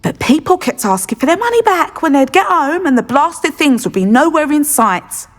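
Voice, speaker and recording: Chatty shop lady, high bouncy voice, echo tail, game music low behind. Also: sing-song. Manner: gossipy, brisk and chatty. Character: chatty middle-aged female beast shopkeeper. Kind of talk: video game voice acting. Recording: long reverb, faint music behind.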